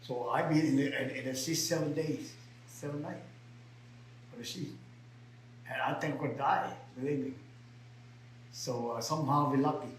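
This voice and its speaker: A man's voice: mid-range voice, steady tone, a little hiss or hum. Older Vietnamese-accented man, echoey room. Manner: halting broken English, many repeats, rising to emphatic shouts. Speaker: interviewee. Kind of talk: personal storytelling.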